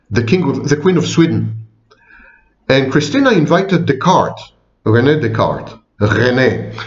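A man of sixty, a psychology professor, giving a wry, digressive informal lecture to viewers, often repeating and correcting himself. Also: medium pitch. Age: 60s. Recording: echoey room, dull lo-fi sound.

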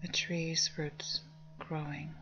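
Female meditation guide, low soft breathy voice, calming near-whisper, slightly muffled lo-fi recording. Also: slow pace. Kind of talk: guided meditation, slow spoken instructions. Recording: dull lo-fi sound under music. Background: meditation music with singing bowls.

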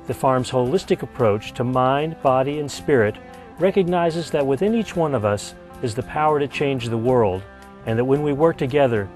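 A man's voice: mid-range voice, a little mellow. Male narrator, middle-aged, mid-range voice, scripted community promo, faint guitar bed. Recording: music behind the voice.

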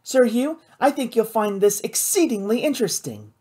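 A narrator voicing an eager, excitable young woman in an audiobook, breathless and urgent. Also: medium pitch, moderate pace, slightly rough, voice pushed up. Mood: breathless, triumphant.

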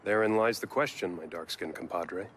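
Cocky, unhinged bounty hunter. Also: male voice, deep voice, normal speed, fairly bright tone, slight background noise. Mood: cocky, flippant. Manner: flippant, crude, wisecracking. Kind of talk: video game cutscene acting.

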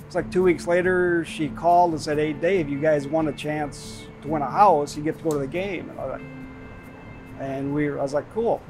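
A man's voice: on the high side, normal speed. A man telling a personal story casually in an interview. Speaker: contest winner. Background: light music.

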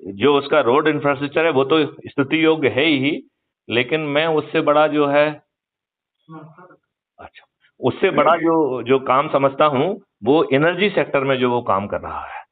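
An older man speaks on a recorded phone call with a narrow, tinny sound. His voice sits a little high for a man. He argues emphatically, like a lecturer, putting rhetorical questions to draw agreement. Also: measured pace. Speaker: activist, retired police officer. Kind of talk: persuasive political talk on a phone call.

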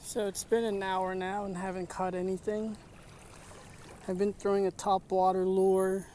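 Slow-talking, mellow outdoor fishing vlogger. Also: mid-range voice, flat delivery. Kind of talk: casual outdoor fishing vlog commentary. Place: outdoors on an open lake shore. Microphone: chest or head-mounted action camera. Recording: outdoor action camera with clear ambient noise.

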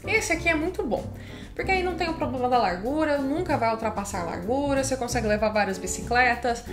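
A woman in her thirties with a Brazilian Portuguese accent, hosting her own channel and explaining things casually to camera from a home office, chatty and full of little "right?" tags. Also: sits high. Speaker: channel host.

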